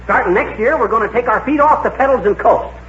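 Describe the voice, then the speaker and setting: A man's voice: very high-pitched, fast talker, fairly bright tone. An actor playing a boastful, folksy middle-aged husband, cocky and ungrammatical with his wisecracks, in a 1940s radio comedy. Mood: boastful, cajoling. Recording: dull, echoey, hissy 1940s radio recording.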